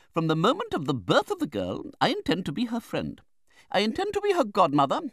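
An imperious, shrill-pitched old great-aunt. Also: male voice, fairly bright tone, no echo, studio quality, full character voice. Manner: snappish, commanding, emphatic. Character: brusque, domineering, eccentric older great-aunt, imperious. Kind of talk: dramatised audiobook reading of a classic novel.

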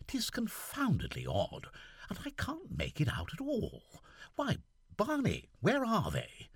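A man voicing an excitable young army lieutenant who keeps exclaiming in exasperation, in an audiobook. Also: high voice, slow, gravelly, husky, no echo, pitched above the performer's own voice, exaggerated voice. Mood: exasperated. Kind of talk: audiobook character voice.

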